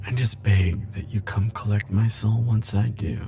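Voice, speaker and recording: An actor playing a lovestruck immortal man, a tender and yearning hopeless romantic, in an intimate ASMR roleplay, with breathy, hesitant, pleading phrasing. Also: fairly low pitch, fairly even intonation, normal speed, mellow, faint music behind. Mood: devoted, longing.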